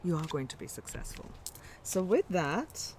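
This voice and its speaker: A woman's voice: on the low side, big pitch swings, moderate pace, background noise. A woman with an Indian accent giving a live tarot reading, musing aloud and reassuring her listeners, often breaking off and restarting. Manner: musing, warm, reassuring, with self-interruptions. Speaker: tarot reader.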